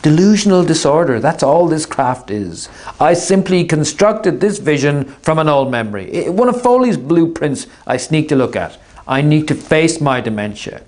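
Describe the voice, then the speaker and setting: Man playing a formal young genius, raised pitch, lively lilt, storybook voice. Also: moderate pace, lightly acted. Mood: serenely deluded.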